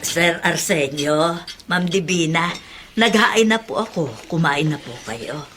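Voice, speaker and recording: A woman playing a fretful, excitable, devout old nanny in a radio drama, with a Filipino accent. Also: sits low, a little slow, faint music behind. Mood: respectful.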